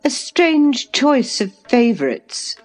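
Woman acting a high-pitched, scornful young lady. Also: a little slow, faint music behind. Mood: cold scorn. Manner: curt, cold, disdainful. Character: scornful, snappish young lady, barely past girlhood. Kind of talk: audiobook character voice.

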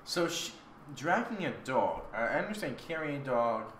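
A man's voice: medium pitch, sing-song, normal speed, a bit bright. A man chatting casually and jokingly as a co-reader, interrupting with disbelief and often laughing. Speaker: guest co-reader. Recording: roomy echo with faint background noise.

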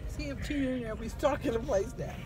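A woman's voice: high voice, quick pace, over music. A woman in her late thirties chatting and teasing in a car, laughing a lot, with crude casual talk. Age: late 30s. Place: inside a car at night.

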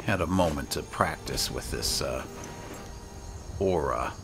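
A man narrating a fantasy game in character, measured, solemn and dramatic. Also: rumbling low, gravelly, over music. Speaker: gaming video host playing in character.

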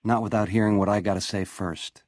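An actor playing a slick, swaggering casino gangster, cocky and glib, in a video game full of 1950s lounge slang. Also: male voice, on the low side, fairly even intonation, a little fast, a little mellow, no room sound, studio quality, natural voice. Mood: defiant, taunting.

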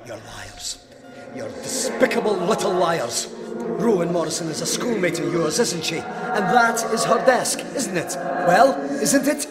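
A stern cop grilling people, highish animated voice, loud music under it. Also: male voice, a little slow. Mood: indignant. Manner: interrogating, accusatory, emphatic. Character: stern, righteous male police sergeant, insistent and indignant. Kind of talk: audio drama acting.

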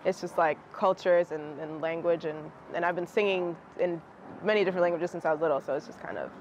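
A young woman with a fairly low voice, a native Russian speaker, talks loosely and restarts her thoughts often. The sound is a bit dull and lo-fi, recorded outdoors on a garden terrace. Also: a little fast, a little mellow, slight background noise. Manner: rambling, restarts often, laughs between phrases. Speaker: guest singer. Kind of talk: casual interview answers.

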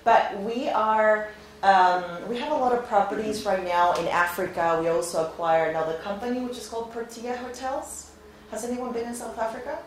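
A woman's voice: medium pitch, fairly even intonation, moderate pace. Woman, 30s, upbeat recruiter chatting to students; captured from afar in a very roomy classroom. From born in Venezuela, raised in Spain. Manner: upbeat, chatty, asks the audience frequent questions. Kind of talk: informal presentation to a class. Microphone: far camera, no visible mic. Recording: very echoey classroom, faint noise.

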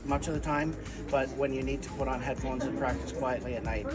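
A man at a convention booth gives a smooth sales explanation in a mid-pitched voice. Loud music plays behind him. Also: steady tone, brisk, recorded from a distance. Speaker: piano company representative. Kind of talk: product pitch. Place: convention exhibit hall.

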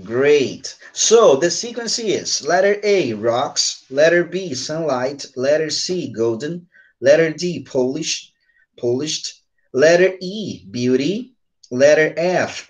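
A man's voice: on the high side, expressive, very slow. A man in his forties with a Brazilian accent, an English teacher patiently explaining step by step on a video call, often adding little checking tags. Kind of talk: online lesson, explaining and switching between Portuguese and English. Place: small room at home or study with bookshelves. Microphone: computer mic on a video call.

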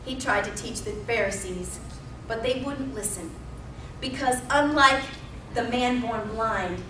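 Bright-voiced woman preaching in a roomy church. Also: fairly high pitch, measured pace, recorded from a distance, a little hiss or hum. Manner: expressive storytelling with emphatic repetition. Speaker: pastor. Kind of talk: sermon. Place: church sanctuary. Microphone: pulpit mic at a distance. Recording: roomy church sound from off-mic.

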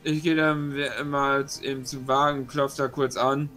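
A man's voice: mid-range voice, flat delivery, a little slow, bright, forceful tone, faint music behind. A man talking casually as a player in a tabletop roleplaying game, slipping in and out of character.

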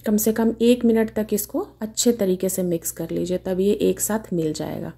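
Mellow-voiced woman hosting a home cooking demo. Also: medium pitch, steady tone, normal speed, creaky, husky. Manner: chatty, homely step-by-step explaining. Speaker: cooking channel host. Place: home kitchen.

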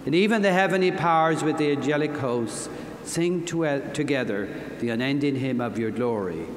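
Solemn older man praying in echoey hall. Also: mid-range voice. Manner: solemn, measured intoning. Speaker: presiding bishop. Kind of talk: liturgical prayer at Mass. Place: large convention hall stage. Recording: echoey hall, faint noise.

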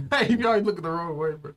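A young American man with a fairly high voice that swings up and down a lot. He reacts casually to what he is watching, trading slangy banter as a video host. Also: expressive, a little fast. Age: young adult. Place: small indoor room.

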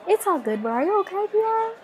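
Woman acting a high-pitched friendly schoolgirl. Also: very animated, fast talker, a crowd in the background. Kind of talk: amateur voice acting for an animated mini movie.

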